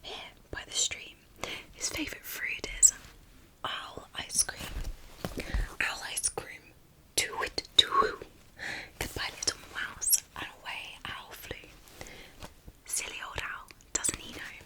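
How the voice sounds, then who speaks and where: A woman whispers her way through a children's storybook in a breathy, unvoiced ASMR style. Her delivery is hushed throughout, with almost no voice behind the words. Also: slow, rough recording. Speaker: storyteller reading picture books.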